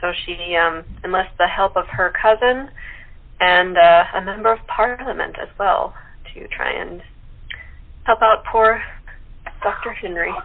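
A woman's voice: on the low side, unhurried. A woman speaking casually as an actress guest in an interview. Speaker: radio play actress as guest. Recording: tinny, narrow phone-line sound.

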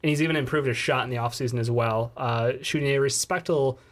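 A man's voice: mid-range voice, quick pace. A man co-hosting a casual sports podcast, talking in a loose, slangy, rambling way. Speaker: podcast co-host.

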